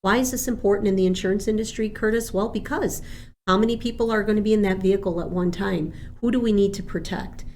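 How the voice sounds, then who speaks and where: A woman's voice: mid-range voice, fast, a little mellow, slight background noise. A woman from Argentina who grew up speaking Spanish, explaining things as an interview guest in a chatty, earnest, conversational way. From born in Argentina. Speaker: insurance agent, interview guest.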